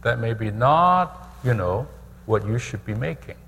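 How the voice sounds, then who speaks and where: A middle-aged man from Hong Kong preaching a testimony sermon, measured and repeating phrases for emphasis. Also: medium pitch, lively intonation, creaky, fairly bright tone, big-room sound, a little hiss or hum. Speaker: pastor.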